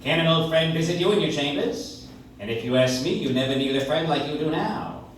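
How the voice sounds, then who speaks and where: A man's voice: on the high side, brisk, slight background noise, natural voice. A man playing a blunt, sardonic political fixer in an old-time radio play, punchy and persuasive. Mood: sardonic, urgent. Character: wisecracking, hard-nosed political fixer, blunt male crony. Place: coffee shop stage, recorded from the audience. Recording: echoey live stage, distant audience recorder.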